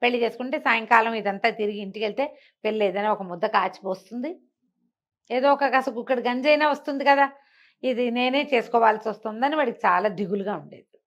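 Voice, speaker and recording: A woman's voice: on the high side, a little fast, lo-fi sound. A woman telling folk tales conversationally, explaining as she goes and posing rhetorical questions. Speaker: storyteller.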